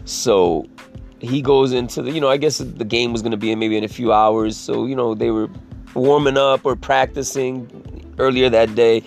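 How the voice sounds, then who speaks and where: Raspy, gravelly man rambling casually. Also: medium pitch, steady tone, moderate pace, soft background music. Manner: profane, filler-heavy, restarts sentences.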